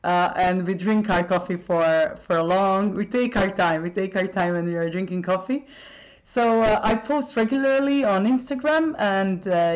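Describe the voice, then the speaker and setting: A woman's voice: on the low side, darkish tone. A Croatian woman, a language teacher who grew up speaking Croatian, answering interview questions in English with a Croatian accent and many hesitant fillers. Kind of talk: podcast interview. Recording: dull lo-fi sound.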